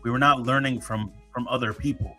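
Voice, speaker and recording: A man's voice: mid-range voice, steady tone, a little fast, sharp tone, soft background music. A man hosting his own podcast, chatting casually and joking, with many fillers and restarts. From United States. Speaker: podcast host. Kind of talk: casual solo podcast talk.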